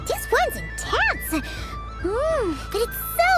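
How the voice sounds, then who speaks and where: A woman's voice: very high-pitched, huge pitch swings, takes it slowly, music in the background, exaggerated voice. A woman voicing a tiny, perky, childlike fairy companion in a video game, exclamatory and easily indignant. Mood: curious, delighted. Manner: refers to self in third person, exclamatory. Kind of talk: video game cutscene acting.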